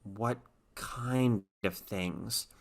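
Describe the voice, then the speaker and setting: A woman's voice: extremely low pitch, extremely slow, vocal fry, darkish tone, voice pushed down, full character voice. A woman voicing a frightened, bewildered teenage girl in a story reading, urgent and questioning. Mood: subdued, uneasy.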